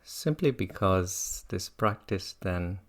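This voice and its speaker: A meditation teacher gently guiding listeners through a practice in an unhurried way. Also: low voice, expressive. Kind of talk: guided meditation talk.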